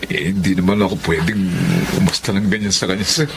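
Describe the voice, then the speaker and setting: A man's voice: low-pitched, steady tone, fairly quick, background noise, natural voice. A man playing a humble, long-suffering family driver and father in a Filipino radio drama, deferential and hesitant. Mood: apologetic, deferential. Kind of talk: Filipino radio drama acting and first-person narration. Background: loud music.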